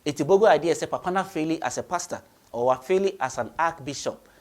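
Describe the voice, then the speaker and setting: A man's voice: a little high, quick pace. A man with a Ghanaian accent hosting radio commentary, giving opinions emphatically, repeating phrases for stress and switching between two languages. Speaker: radio show host. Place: radio studio.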